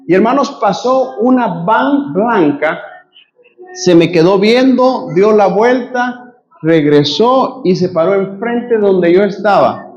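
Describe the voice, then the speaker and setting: A man's voice: high-pitched, dull audio. A male pastor from Guatemala with a Guatemalan Spanish accent, preaching a sermon and telling a personal story to his congregation. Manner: animated storyteller addressing congregation as brothers. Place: church.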